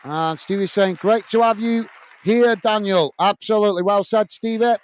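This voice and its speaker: A man's voice: high-pitched, normal speed, a faint crowd. Lancashire man calling a football match live. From Bolton, north of England. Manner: chatty, calls viewers mate, frequent uh fillers. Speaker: football watch-along host. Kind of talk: live match commentary and chat reading. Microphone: earphones and desk microphone.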